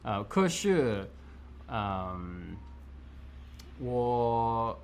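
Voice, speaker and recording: Slow, animated man on a video call. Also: mid-range voice, slight background noise. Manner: slow, halting, many fillers. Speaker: guest. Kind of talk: casual multilingual chat.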